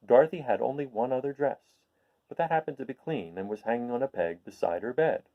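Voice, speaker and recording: A man reading a storybook aloud as a host, in an expressive, explanatory manner. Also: medium pitch, fairly quick, phone-quality sound. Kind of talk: expressive storybook read-aloud with informal introduction.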